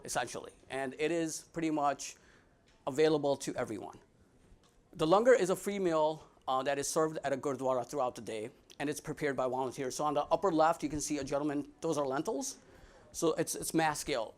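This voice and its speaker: A young man with a Midwestern American accent gives a friendly talk over slides, his voice sitting a little higher than most men's. He jokes with the audience and keeps stopping to restart his sentences, with plenty of ums and uhs. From United States. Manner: friendly and joking, many fillers and restarts. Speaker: guest speaker. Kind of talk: casual presentation with slides.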